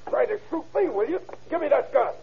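A man's voice: extremely high pitch, lively intonation, fairly quick, vocal fry, exaggerated voice. An American man acting a violent, defiant young forger, a sneering tough guy in a 1930s radio drama. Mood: enraged, threatening. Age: young adult. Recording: tinny, noisy old radio recording.

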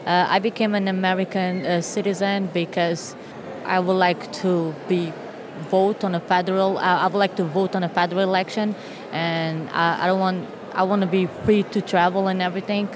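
A woman from Portugal, a new citizen, speaking slowly and hesitantly, restarting her sentences. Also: on the low side, level tone, noisy background. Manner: hesitant, slow, with fillers and restarts.

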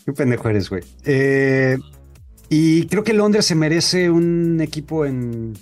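A man's voice: a little high, lively intonation, slow, darkish tone, faint music behind. A young Mexican man co-hosting a sports podcast, talking in a slangy, profane, opinionated way. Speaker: podcast co-host. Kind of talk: casual sports chat.

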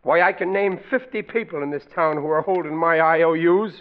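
A man's voice: a little high, a little fast, close to an everyday voice. An actor playing a blustering, scheming middle-aged American family father in an old-time radio comedy, with hammy, folksy, ungrammatical delivery. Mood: indignant, boastful. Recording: muffled, noisy vintage radio recording.

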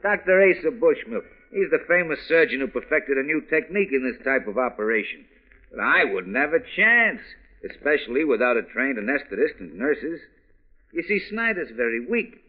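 A man's voice: a little high, sing-song, normal speed. An actor playing an anxious, protesting crooked male doctor, precise and pleading, in 1940s radio crime drama style. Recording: tinny old radio recording.

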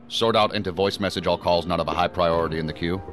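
An actor playing a busy assistant director in an audio drama, with a wry, dry way of talking. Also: male voice, deep voice, lightly acted. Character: busy male senior official, wry and domestic.

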